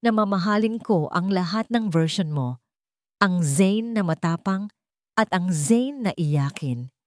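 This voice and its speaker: A woman's voice: on the low side, animated, measured pace, no room sound, studio quality. A woman playing a tearful, devoted young woman in a romance story, emotional and tender.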